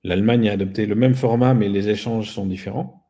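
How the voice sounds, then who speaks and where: A man's voice: lowish voice, fairly even intonation, fairly quick, warm tone, slightly rough. A man speaking as an interview guest, explaining things casually with a wry, self-deprecating manner. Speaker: guest, company founder.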